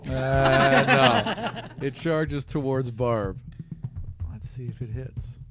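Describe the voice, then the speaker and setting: Guy game mastering a tabletop session, middling pitch, casual storytelling, clear background noise. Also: extremely slow. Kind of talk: improvised narration and banter in a tabletop game.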